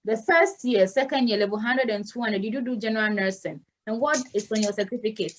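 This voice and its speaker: A woman's voice: a little high, brisk. Woman host in her 30s, chatty explainer, video call audio. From Ghana. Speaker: host of a nurse consulting Zoom session. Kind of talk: informal video call briefing.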